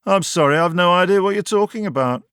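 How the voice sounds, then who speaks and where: Villainous professor spy , acted by a male narrator: testy, superior, pitched up, dry studio sound. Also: fast, fairly bright tone, smooth, no room sound. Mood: haughty denial. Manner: snappish, haughty.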